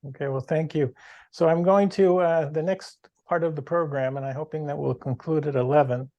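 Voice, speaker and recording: A man hosts a discussion in a casual, conversational way. His voice sits a little high and has a gravelly creak, recorded dry. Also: fairly even intonation, moderate pace, warm tone, dry recording, muffled recording. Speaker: host, moderator. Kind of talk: casual moderating of an online discussion.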